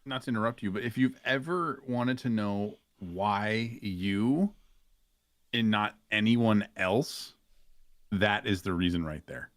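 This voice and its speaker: A man's voice: fairly low pitch, slow pace, no echo, clean studio sound. A man chatting casually as a podcast guest about roleplay gaming. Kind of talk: casual chat.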